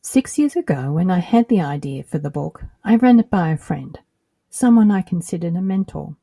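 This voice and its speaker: A middle-aged woman with an Australian accent, hosting her own podcast in a measured, earnest scripted narration. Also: on the low side, expressive, creaky, mellow, slightly rough, dry recording, clean studio sound. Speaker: podcast host.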